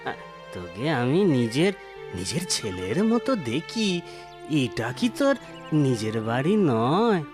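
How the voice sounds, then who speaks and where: Halting, slow older village landlord. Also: male voice, mid-range voice, very animated, over music. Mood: pleading, surprised. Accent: Bengali. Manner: halting, stammering, pleading. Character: fond older village landlord, fatherly and hesitant. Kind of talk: cartoon voice acting.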